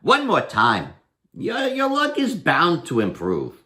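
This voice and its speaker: A man's voice: a little high, huge pitch swings, takes it slowly, bright, forceful tone, voice pushed up, heavily acted. A man voicing a wily, playful folk hero who coaxes with mock innocence in a storybook reading. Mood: mock sympathy.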